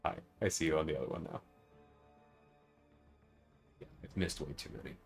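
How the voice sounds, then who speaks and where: Very deep-voiced man streaming casually. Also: moderate pace, slightly rough, faint music behind. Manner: rambling, thinking aloud, chatting to viewers. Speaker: livestream host. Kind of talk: casual gaming livestream commentary.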